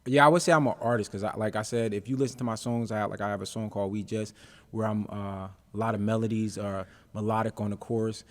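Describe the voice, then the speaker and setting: Laid-back young man, lowish voice. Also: steady tone, fast talker. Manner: laid-back, easygoing, many fillers like um and uh. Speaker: rapper, interview guest. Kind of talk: casual interview chat.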